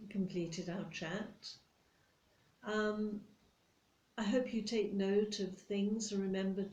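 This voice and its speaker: A controlling, nitpicking British office boss acted by a woman in a soft-spoken ASMR roleplay. She scolds politely and with condescension, taking her time in a mellow voice. The room adds a noticeable echo. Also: medium pitch, close to an everyday voice.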